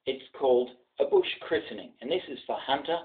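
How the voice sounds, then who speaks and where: An older Australian man reciting bush verse in a theatrical, expressive storytelling manner. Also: mid-range voice, brisk. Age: middle-aged or older. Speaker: poetry reader and presenter. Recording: dull lo-fi sound, distant mic.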